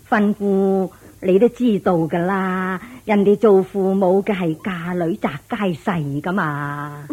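Older village matchmaker auntie, Hong Kong Cantonese accent, mellow slightly husky voice, sighing, lecturing, worldly radio drama character. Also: female voice, mid-range voice, fairly even intonation. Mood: reasoning. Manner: sighing, lecturing, drawn-out phrasing. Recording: dull lo-fi radio drama with music.

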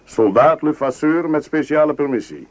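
An actor playing a confident, worldly French imperial guard lieutenant in a radio play, jovial and self-assured. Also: male voice, fairly high pitch, animated, normal speed, no room sound, close to an everyday voice. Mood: brisk, authoritative.

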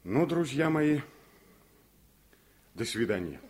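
A man's voice: mid-range voice, sing-song, takes it slowly, a little mellow. Composed, kindly male teacher. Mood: warm, calm. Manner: friendly, composed. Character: kindly, brave male revolutionary teacher, calm and warm-hearted. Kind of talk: Soviet radio play acting.

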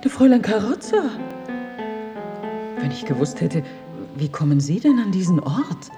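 A society lady gossiping in a radio thriller's prison cell, animated and genteel, with music underneath. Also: on the low side, normal speed. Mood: excited prying. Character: genteel, chatty, inquisitive countess in a prison cell. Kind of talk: German radio play acting.

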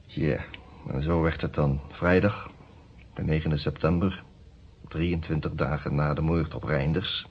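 An actor playing a male defence lawyer in a radio play, formal and deliberate, with hesitant pauses. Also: very deep voice, steady tone. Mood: suspenseful narration. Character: male defence lawyer, narrating his case, hesitant under questioning. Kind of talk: Dutch radio play narration and dialogue. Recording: dull, noisy old radio recording.

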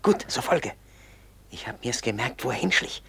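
An actor playing an envious, scheming robber in a radio drama, speaking in an insinuating, conspiratorial way. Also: male voice, mid-range voice, lively intonation, moderate pace, vocal fry, fairly bright tone, breathy edge, exaggerated voice. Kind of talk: classic German radio drama acting.

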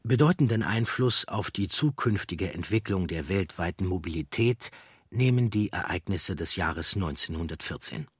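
A man reads scripted documentary narration in a measured, factual way. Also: fairly low pitch, breathy edge, no echo, studio quality.

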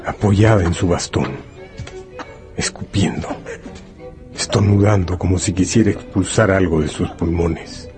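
A man delivering dramatic scripted narration in deliberate, measured phrases. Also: low voice. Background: music.

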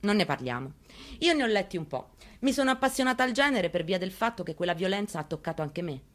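A woman's voice: mid-range voice, lively intonation, fast, lightly acted. A woman performing a dramatic monologue reading as a haunted, plainspoken mother recounting her story. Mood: reflective. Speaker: actress in a staged reading.

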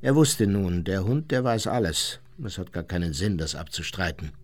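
A man's voice: sits low, normal speed. A man acting in a radio play as a boastful former Nazi officer who recounts his wartime exploits conversationally, self-satisfied and acting out what was said. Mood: smug, storytelling. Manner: self-satisfied, vivid storyteller who acts out quotes.

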